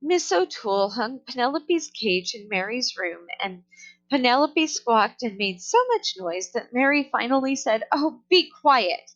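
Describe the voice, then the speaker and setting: A woman reads a children's story aloud in a high voice. Her delivery is slow and deliberate, placing each phrase with care. The recording is a little dull and lo-fi. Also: lively intonation. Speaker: storybook reader. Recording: dull, lo-fi sound.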